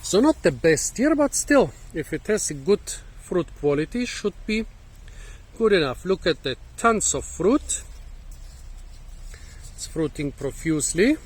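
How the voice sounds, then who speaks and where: A hoarse man, musing and halting as he thinks aloud while hosting a casual fruit-tasting vlog outdoors in a garden, recorded on a phone. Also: high-pitched, big pitch swings. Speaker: fruit channel host. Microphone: phone camera.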